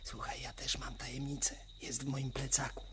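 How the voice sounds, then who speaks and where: A man playing an easygoing wandering vagabond in a 1970s radio play, calm and conspiratorial. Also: mid-range voice, moderate pace, lightly acted. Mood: quietly determined. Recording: noisy vintage vinyl radio play.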